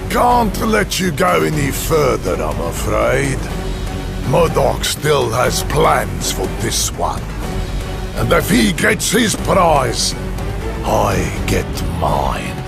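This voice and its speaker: An actor playing a scheming, defiant villain in a video game, smug and threatening. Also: male voice, mid-range voice, huge pitch swings, takes it slowly, creaky, full character voice. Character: scheming, defiant male villain craving a throne. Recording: very loud music behind voice.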